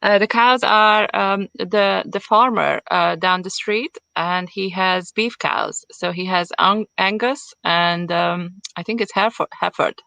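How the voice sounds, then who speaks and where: A woman from Hungary with a Hungarian accent, chatting as an interview guest in a halting way as she searches for words. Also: medium pitch, steady tone, vocal fry, pushed and bright, dry recording. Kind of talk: casual conversational interview. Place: at home in the countryside.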